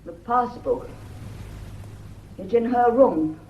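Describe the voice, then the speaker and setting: A woman's voice: on the high side, slow, muffled recording, a little hiss or hum. A woman acting an anxious, fearful young Englishwoman in a radio drama, with an English accent and a nervous, imploring delivery. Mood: frightened.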